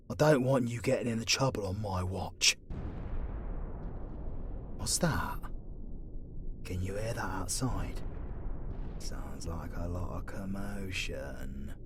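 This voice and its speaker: A man with a British accent playing a gruff, loyal young demon warrior, wounded and protective, with rough colloquial speech, in a fantasy audio drama. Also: deep voice, background noise. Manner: rough colloquial speech, calls listener dearie. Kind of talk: fantasy audio roleplay acting, intimate ASMR.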